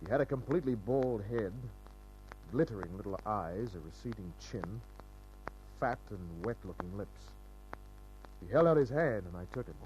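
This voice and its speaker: A tough private eye, played by a male actor in a vintage radio serial. His low voice is wry and hard-boiled, clipped and unflappable, sometimes with faint music. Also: expressive, normal speed, a little mellow, close to an everyday voice, poor audio. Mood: hard-boiled, observant. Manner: dry, hard-boiled, laconic. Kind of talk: old-time radio detective drama acting and narration.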